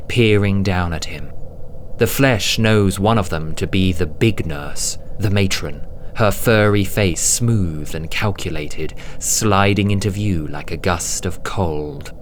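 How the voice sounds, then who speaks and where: Man, low voice, slow suspenseful narration, dramatic audiobook style, faint background noise. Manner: suspenseful, hushed at times, deliberate.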